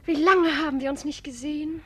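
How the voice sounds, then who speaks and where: A woman's voice: high-pitched, steady tone, a little fast, sharp tone. An actress in a German radio play voicing a flirtatious, restless married society lady with an effusive, coquettish manner. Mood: seductive.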